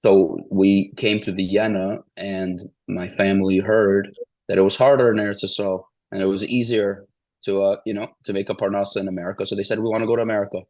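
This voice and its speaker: A man's voice: fairly low pitch, little pitch movement, a little fast, a little mellow, dry recording, rough recording. A man in his forties from Ukraine, telling his story as an interview guest, meandering with restarts and repeated phrases. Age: 40s.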